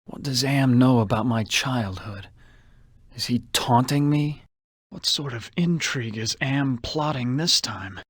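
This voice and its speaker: A man's voice: mid-range voice, a little slow, breathy edge, no room sound, clean studio sound. An actor playing a vain, suave, womanizing con man who muses aloud theatrically and suspiciously in a video game. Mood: wary, suspicious. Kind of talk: video game character monologue.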